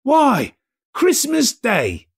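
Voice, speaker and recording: Man putting on an eager, very high-pitched young boy. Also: wildly swooping pitch, very slow, breathy edge, heavily acted. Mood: astonished. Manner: exclamatory, incredulous. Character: eager, cheeky young street boy, wide-eyed with wonder.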